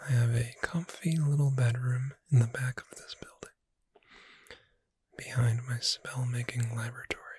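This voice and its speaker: A performer voicing a gentle, reassuring witch bookseller in a breathy whisper, soothing the listener in an ASMR roleplay. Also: mid-range voice, fairly even intonation. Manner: slow, gentle, soothing, addressing the listener directly.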